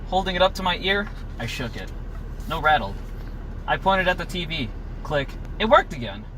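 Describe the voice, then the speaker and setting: A man giving a theatrical, emphatic storybook narration, recorded amid loud outdoor or vehicle noise. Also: on the high side, sing-song, normal speed. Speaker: story reader.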